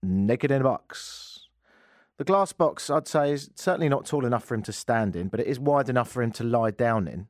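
A man's voice: mid-range voice, lively intonation, normal speed, no room sound. A man hosting a comedic radio show, rambling through sardonic asides into a studio mic. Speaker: radio host.

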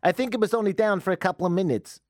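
A woman's voice: mid-range voice, rapid-fire, darkish tone, no echo, studio quality, pitched above the performer's own voice, lightly acted. A man doing a mock Icelandic accent for a young wife in a comic radio bit. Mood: breezy.

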